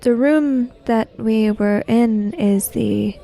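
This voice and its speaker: Woman playing a reserved, creaky-voiced samurai-era traveller. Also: on the high side, warm tone, faint music behind. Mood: measured, pensive. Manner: formal, measured, avoids contractions. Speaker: player in a tabletop roleplaying game. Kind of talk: in-character roleplay dialogue.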